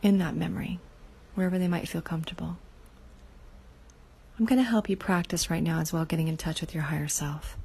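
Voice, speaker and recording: Woman, meditation guide, soft half whisper, very raspy, flat delivery. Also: lowish voice, brisk, long pauses. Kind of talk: guided inner-child meditation.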